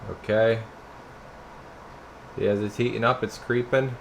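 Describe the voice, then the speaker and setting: A man's voice: lowish voice, fairly even intonation, measured pace, vocal fry, a little hiss or hum. A man giving a casual hands-on product demo in an informal, rambling way, talking to viewers as guys. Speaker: radio repairer and product reviewer.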